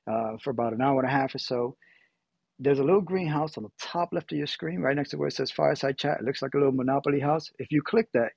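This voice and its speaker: A man from Puerto Rico hosting a casual moderated chat, relaxed and rambling, often correcting himself. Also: mid-range voice, slightly rough, no echo. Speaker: host.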